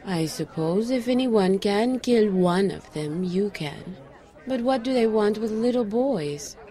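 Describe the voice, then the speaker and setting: A woman's voice: medium pitch, expressive, measured pace, dark tone, some chatter behind, natural voice. An actress playing a skeptical, guarded psychic woman, dry and teasing, in an audio drama. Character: skeptical, psychic enchanted woman, the detective's old flame.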